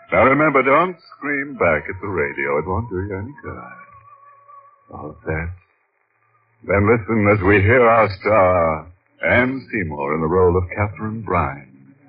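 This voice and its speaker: Deep, ghoulish horror radio host. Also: male voice, animated, unhurried, a little hiss or hum. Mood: gleefully ominous. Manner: theatrical, drawn out, darkly mocking. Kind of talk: 1940s radio horror hosting. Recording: dull old radio recording, music behind.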